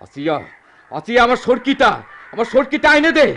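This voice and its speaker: A man's voice: very high voice, very animated, a little fast, bright, forceful tone, heavily acted. An actor playing an aggrieved, fiery old village father and widower in a Bengali radio drama, lamenting emotionally in a rural Bangladeshi dialect. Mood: distraught, furious.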